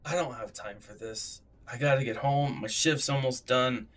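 A man's voice: mid-range voice, moderate pace, a bit bright, lightly acted. A man playing an exasperated, sarcastic sci-fi city policeman in a comedic radio drama, grumbling and impatient.

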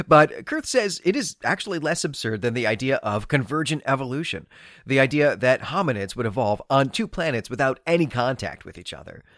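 A man's voice: mid-range voice, fairly bright tone, dry recording, studio quality. A man hosting a literary podcast, giving analytical, bookish commentary with emphatic phrasing. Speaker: podcast host.

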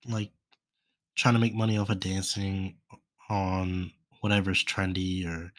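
A young man with a stuffy, congested nose talks through a solo podcast in a loose, rambling way, trailing off into fillers. His voice sits low and barely moves in pitch. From United States. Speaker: podcast host. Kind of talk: casual solo podcast talk.

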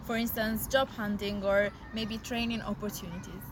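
A woman's voice: medium pitch, little pitch movement, normal speed. A woman from Albania giving interview answers outdoors, hesitating with fillers.

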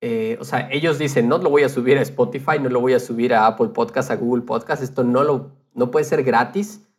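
Guy chatting on a podcast as the guest, casual, normal mid pitch voice. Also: fairly even intonation, a little fast. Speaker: podcast guest, audio producer.

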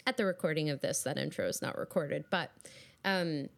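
A woman with a low, gravelly voice, scratchy today, hosting a podcast. She gushes warmly about her guest and leaves plenty of "um"s along the way. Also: animated, brisk. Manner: effusive, gushing, many fillers. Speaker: podcast host. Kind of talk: casual podcast chat.

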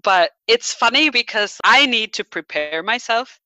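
A woman's voice: fairly high pitch, lively intonation, a little slow, pushed and bright, no room sound, low-quality recording. A young American woman chatting casually, self-deprecating and full of "like" fillers. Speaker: conversation partner. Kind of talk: casual chat.

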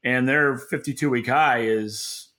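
A man's voice: mid-range voice, unhurried, pushed and bright. A man co-hosting a podcast, giving casual market commentary in a blunt, wry way. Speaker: podcast co-host.

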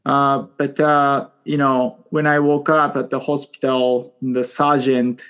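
A man in his forties from Japan with a Japanese accent, speaking English as a second language as a podcast guest, telling a personal story in a halting, self-deprecating, joking way. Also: mid-range voice, level tone, fairly bright tone, clean tone, dull audio. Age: 40s. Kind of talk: casual personal storytelling in an interview.

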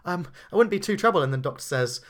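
A man from England co-hosting a podcast, chatting casually in a rambling, animated way. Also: high voice, fast talker, noisy background. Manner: rambling, animated, does little quoted voices. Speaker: podcast co-host.

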